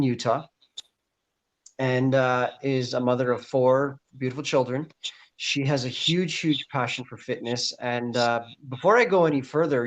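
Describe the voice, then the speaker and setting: An enthusiastic man hosts an interview, speaking at an average pitch. He leans on fillers and restarts, keeping things informal and upbeat. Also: rough recording. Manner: enthusiastic, many fillers like um and you know. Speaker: host, leadership coach. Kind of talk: podcast interview hosting.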